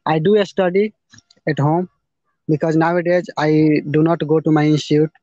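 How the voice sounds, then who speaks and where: A man's voice: on the high side, steady tone, mellow, no room sound. Dull-sounding young Indian man, learner English. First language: not English. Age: young adult. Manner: halting learner English, simple phrases. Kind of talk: casual practice conversation. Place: outdoors in a garden. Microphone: phone at arm's length, video call. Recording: dull lo-fi video call audio.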